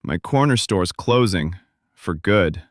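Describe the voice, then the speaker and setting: A man voicing a tired small-town man who speaks quietly and glumly, in an audiobook reading. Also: low-pitched, lively intonation, takes it slowly, no echo, studio quality, full character voice. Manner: quiet, glum. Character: weary small-town man bringing bad news. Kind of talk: audiobook character voice.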